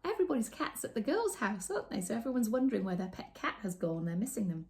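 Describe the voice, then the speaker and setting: A middle-aged woman reading a picture book aloud to children, animated and expressive, with chatty asides and hushed, dramatic phrasing. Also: on the high side. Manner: animated, dramatic pauses, drops into whispers. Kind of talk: expressive storytime read-aloud with asides to young viewers. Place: small room with bookshelves, indoors.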